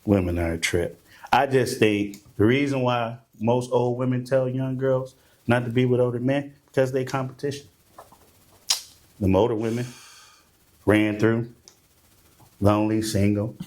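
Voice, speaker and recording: A man co-hosting a podcast in casual chat, blunt and joking, laughing loudly. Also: mid-range voice, steady tone, a little slow, long pauses. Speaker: podcast co-host.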